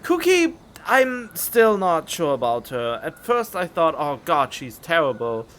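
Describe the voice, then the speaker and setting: A man's voice: a little high, sing-song, measured pace, fairly bright tone. A man giving casual gameplay commentary as a streamer, musing aloud informally into a studio microphone. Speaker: gaming streamer. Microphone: studio condenser microphone. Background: game music.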